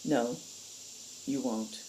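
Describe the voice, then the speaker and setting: Woman as a stern, low-voiced female agent. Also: drawn-out words, mellow, a little hiss or hum, full character voice. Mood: flat, firm. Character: stern, weary female healer agent, blunt executioner.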